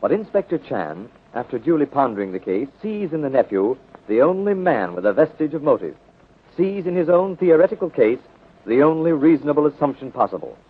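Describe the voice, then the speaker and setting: Male announcer, mid-pitched and slightly rough, formal dramatic scripted narration, tinny vintage radio recording. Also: big pitch swings, brisk. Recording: tinny narrow-band 1930s radio recording.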